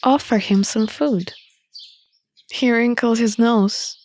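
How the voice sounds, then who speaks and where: A woman reading a visual novel aloud as an expressive narrator of a playthrough. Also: on the high side, slow pace.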